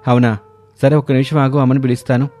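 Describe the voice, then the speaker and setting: A man's voice: mid-range voice, flat delivery, quick pace, a little mellow, natural voice. A man voicing a puzzled, earnest husband in a cartoon family story. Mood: wary. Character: grown sons, puzzled husbands in a family tale. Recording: music under the voice.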